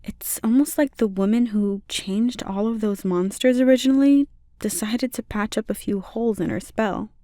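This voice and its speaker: A woman voicing a gentle, caring slime monster girl with playful teasing, in a soft, intimate ASMR roleplay delivery. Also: on the high side, moderate pace, no room sound, studio quality, close to an everyday voice. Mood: gentle explaining.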